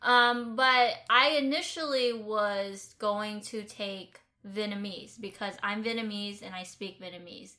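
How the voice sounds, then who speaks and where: A woman's voice: mid-range voice, fairly even intonation, unhurried, hardly any pauses, sharp tone. A young woman from the United States hosting a casual explainer vlog, chatty and rambling with many restarts. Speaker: host.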